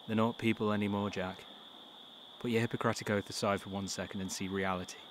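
A man acts out a hard-headed male survivor in an audio drama. His low voice is blunt and commanding, set in clearly noisy night ambience with crickets. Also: level tone, quick pace, lightly acted. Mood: stern, insistent.

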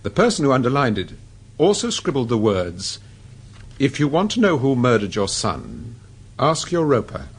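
Suave english gentleman detective, polite probing questions, very lively pitch, slightly husky. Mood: calm probing. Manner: courteous, questioning, measured. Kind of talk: vintage-style radio drama acting.

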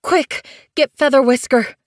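A woman's voice: high voice, unhurried, no room sound, clean studio sound, voice pushed up. Woman as an earnest, caring sister cat. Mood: urgent. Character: caring, anxious young female cat, protective sister.

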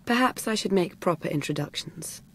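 An actress playing a cool, composed female intelligence operative in a video game, speaking in a measured, formal, understated way. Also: on the low side, expressive. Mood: grave, measured. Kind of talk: scripted video game cutscene acting.

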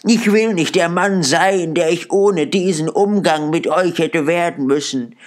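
A man's voice: a little slow, close to an everyday voice. A man voicing an old miser who pleads in terror and desperation with a raised, strained voice. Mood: pleading. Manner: desperate, high-pitched pleading.

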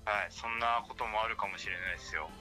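A young man makes a casual pitch as a call-in radio guest. His voice is fairly low with a very bright, tense edge, and he speaks in halting, vague bits. Faint music runs underneath. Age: young adult. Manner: halting, vague, piecemeal phrasing.

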